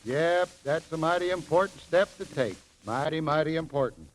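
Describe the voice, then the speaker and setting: A man's voice: fairly high pitch, lively intonation, moderate pace, slight background noise, close to an everyday voice, poor audio. An actor playing a mild, awkward ex-soldier handyman in an old-time radio comedy, hesitant, folksy and earnest.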